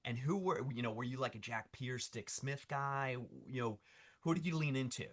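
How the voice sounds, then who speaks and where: Man, bright voice, medium pitch, interviewer, casual, effusive, halting phrasing. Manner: effusive, fan-like, halting questions. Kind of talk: casual enthusiastic interview chat.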